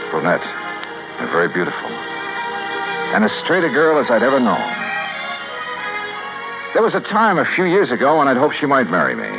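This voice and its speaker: An actor playing a grieving, hot-tempered insurance investigator with an American accent, in 1950s radio detective drama style. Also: male voice, lowish voice, vocal fry, heavily acted. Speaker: lead actor and narrator. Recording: dull vintage radio recording with music.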